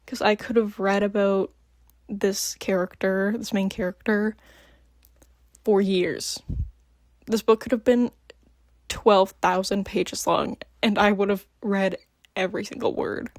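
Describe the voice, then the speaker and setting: A woman's voice: mid-range voice, darkish tone, no room sound. Sleepy-voiced woman rambling about books she loved, lots of ums, dead dry room. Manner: gushing, filler-heavy, many ums. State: just woke up. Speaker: book vlogger. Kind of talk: casual book review chat.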